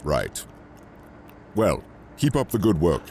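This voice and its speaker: A man in an audio drama playing a haughty imperial governor, formal, measured and dryly disdainful. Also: low voice, huge pitch swings, vocal fry, darkish tone, a little hiss or hum, exaggerated voice. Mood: weary disdain.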